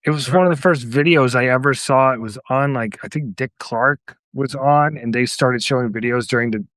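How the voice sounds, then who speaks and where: A man's voice: medium pitch, moderate pace, a bit bright, no echo. A man co-hosting a podcast, chatting casually about music. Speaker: podcast co-host.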